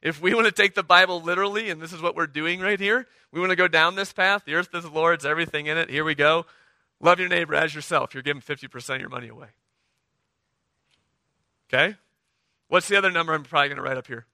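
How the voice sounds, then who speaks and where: A middle-aged man preaching a sermon, hoarse from the flu, talking conversationally and often checking in with the congregation. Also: on the high side, fast talker, bright, forceful tone, no room sound, clean studio sound. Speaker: pastor.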